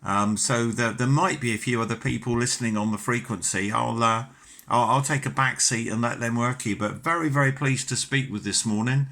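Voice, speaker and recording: A man's voice: mid-range voice, a little fast, pushed and bright. A middle-aged British man chatting casually as an amateur radio operator, courteous and talkative. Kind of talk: casual ham radio chat.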